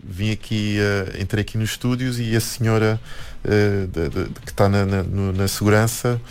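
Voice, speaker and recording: A man's voice: lowish voice, steady tone, normal speed, darkish tone. Hesitant man with European Portuguese accent. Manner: hesitant, many restarts and fillers. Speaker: musician and anthropologist guest. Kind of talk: reflective interview talk.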